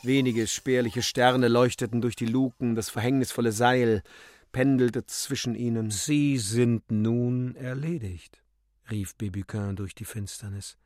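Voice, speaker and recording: A man narrating a literary radio drama in a deliberate, theatrical manner with sudden hushes and swells. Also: medium pitch, fairly even intonation, dry recording, clean studio sound.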